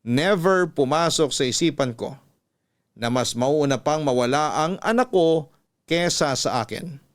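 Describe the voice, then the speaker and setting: A male radio host tells a scripted first-person story in a solemn, emotive way. His voice is smooth and clear, pitched a little high, with dramatic storytelling delivery. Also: lively intonation, unhurried.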